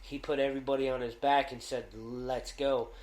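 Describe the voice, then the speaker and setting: A man co-hosting a casual podcast, loud-voiced and rambling, with lots of fillers and restarts. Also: medium pitch, flat delivery, moderate pace, roomy sound, rough recording. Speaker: podcast co-host.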